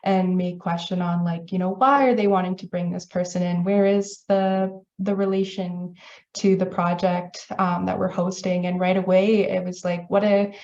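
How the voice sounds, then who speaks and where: Hesitant woman with a gravelly voice. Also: lowish voice, flat delivery, moderate pace, darkish tone, echoey room, muffled recording. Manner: hesitant, many ums, apologetic. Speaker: festival organizer. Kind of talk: casual panel discussion.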